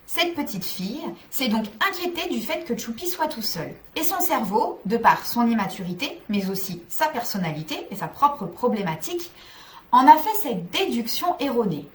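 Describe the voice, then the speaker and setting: A woman's voice: on the high side, big pitch swings, moderate pace, slight background noise. A woman psychologist giving scripted, structured advice to parents in a didactic way, addressing listeners directly.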